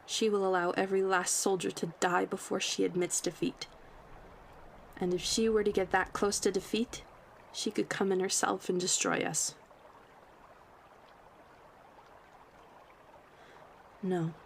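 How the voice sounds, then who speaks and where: A woman's voice: mid-range voice, flat delivery, creaky, slight background noise, lightly acted. A woman voicing a proud, solemn talking rabbit of the forest, speaking earnestly and formally in a fantasy roleplay monologue. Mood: grave, urgent. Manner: earnest, formal storytelling in measured phrases.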